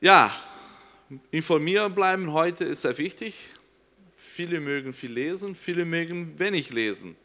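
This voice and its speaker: A man's voice: fairly high pitch, unhurried, pushed and bright. A man from Brazil whose first language is Portuguese, speaking German with a Brazilian accent, presenting earnestly and searching for words at times. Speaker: missionary. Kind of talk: presentation to a congregation.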